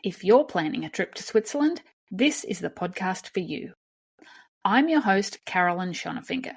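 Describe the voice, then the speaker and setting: A woman's voice: mid-range voice, dry recording. A woman hosting a travel podcast, reading a scripted intro and then chatting with a guest. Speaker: podcast host.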